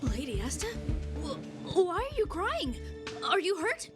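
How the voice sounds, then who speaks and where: A loyal young attendant with a high voice that swings widely. The delivery is anxious, hesitant and deferential, in anime game style, with music behind it. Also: female voice, huge pitch swings, unhurried, heavily acted. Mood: alarmed, concerned.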